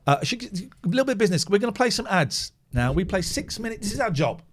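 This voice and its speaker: Male late-night radio host, lively swooping delivery, rather high for a man, chatty. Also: fast talker. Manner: animated, chatty, swearing.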